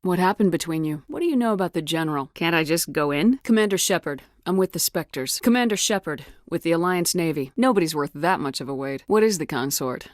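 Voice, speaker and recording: Game lead: a tough female commander, lower register, firm and no-nonsense, very dry studio sound. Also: lively intonation, a little fast, hardly any pauses, no room sound. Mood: blunt, questioning. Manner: firm, clipped, authoritative. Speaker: video game voice actor. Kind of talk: video game dialogue acting.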